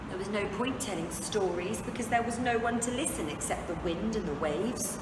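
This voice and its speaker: A woman reading a children's storybook aloud expressively, with dramatic phrasing and deliberate pauses for young listeners. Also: mid-range voice. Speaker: English teacher reading a picture book aloud. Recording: echoey, reverberant room, distant mic, noisy background.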